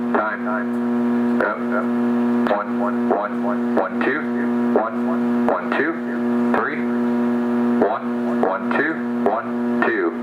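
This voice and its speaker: Male radio test voice, unhurried, muffled transmission sound, very heavy static. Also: medium pitch. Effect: CB radio transmission, at times with echo. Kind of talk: radio test counting, short check phrases. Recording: dull radio receiver audio, loud static noise.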